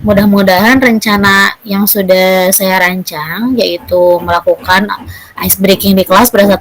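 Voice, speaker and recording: A woman's voice: medium pitch, low-quality recording. A woman, a teacher speaking politely and deferentially in a semi-formal coaching conversation.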